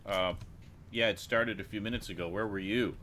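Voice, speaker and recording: A man's voice: fairly low pitch, steady tone, moderate pace, crowd noise. A man hosting a casual live geek chat, talking informally with friends. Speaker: host of a weekly geek chat show.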